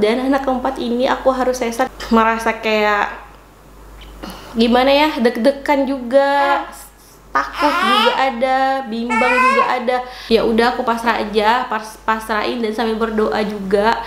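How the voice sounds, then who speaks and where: A young adult woman from Indonesia telling a personal story in a casual, chatty, confiding vlog style, with some emphasis. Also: fairly high pitch. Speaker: vlogger, mother of four. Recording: roomy echo, faint background noise.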